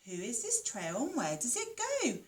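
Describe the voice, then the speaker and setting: A woman's voice: a little high, normal speed. A woman voicing a small, curious and brave young girl monster in a children's storybook. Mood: excited. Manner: excited, wide-eyed.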